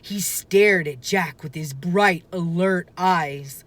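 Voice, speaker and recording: A woman reading a children's book aloud with expressive, deliberate, dramatic phrasing. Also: lowish voice. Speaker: storybook reader.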